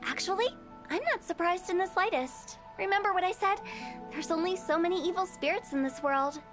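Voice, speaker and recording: Self-assured, mischievous young woman undertaker for an anime game, extremely high voice, teasing. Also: fairly quick, music in the background. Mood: smug. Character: cheeky, confident young female funeral parlor director.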